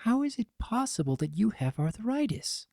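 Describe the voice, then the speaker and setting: A gentle, earnest old female pony from a storybook, acted by a reader, who turns firm when crossed. The pitch is lifted well above the narration and the sound is dry. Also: sing-song, moderate pace, vocal fry, no echo. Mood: concerned. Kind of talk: fan fiction dramatic reading.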